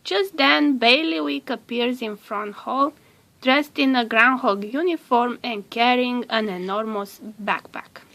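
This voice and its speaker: Slow, higher-voiced woman reading a storybook. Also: creaky, a bit bright. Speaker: storybook narrator. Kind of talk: read-aloud children's storybook narration.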